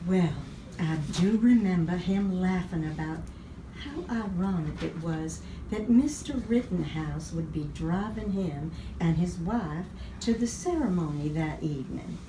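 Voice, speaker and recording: Low-pitched, echoey woman playing a coy gossip. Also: lively intonation, unhurried. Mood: coy, reluctant. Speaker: actor. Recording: echoey, noisy rehearsal recording.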